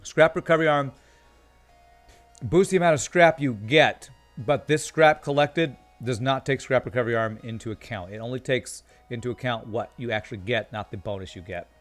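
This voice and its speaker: A male streamer with a calm, soothing voice that has gone a little tired after a long session. He chats easily over the game, reading comments aloud, with faint game music playing softly behind him. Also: medium pitch, moderate pace. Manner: chatty, reads chat aloud, laughs often. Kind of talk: casual live-stream commentary.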